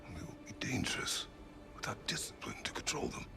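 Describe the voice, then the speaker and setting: An actor playing a stern, weary warrior god and father in a video game, speaking tersely in a gruff, commanding growl. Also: a little fast, whispered, music in the background, natural voice. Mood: stern, hushed. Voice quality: deep, gravelly, breathy growl.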